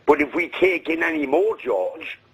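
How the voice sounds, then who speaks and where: A man's voice: high-pitched, huge pitch swings, moderate pace, vocal fry, slightly rough, rough recording. A man from Limerick with an Irish accent phoning in to a radio show, rambling and saying the host's name over and over. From Ireland. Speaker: radio caller. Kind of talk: phone-in call. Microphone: phone.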